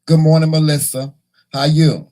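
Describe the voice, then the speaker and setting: A hoarse man hosting a casual morning livestream, chatting and teasing his viewers in a folksy way. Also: a little high, flat delivery, normal speed, dark tone. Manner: folksy, teasing, talks to the chat; repeats words and restarts often. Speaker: morning show host.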